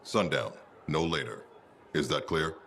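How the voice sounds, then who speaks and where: An actor playing a stern, proud veteran commander in a video game, speaking in a curt, formal, commanding way. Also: male voice, unhurried, gravelly, fairly bright tone, a little hiss or hum, full character voice. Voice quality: very deep. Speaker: military commander. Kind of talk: scripted video game dialogue.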